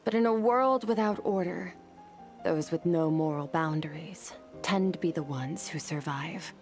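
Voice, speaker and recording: A woman playing a stoic young swordswoman in a video game, somber and driven, speaking in a grave, measured way. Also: lowish voice, over music. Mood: solemn, resolute. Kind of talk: scripted video game voice acting.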